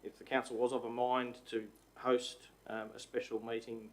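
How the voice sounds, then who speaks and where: A man, a council CEO, giving formal procedural advice in a halting way full of ums and restarts. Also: mid-range voice, little pitch movement, a little hiss or hum. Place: council chamber.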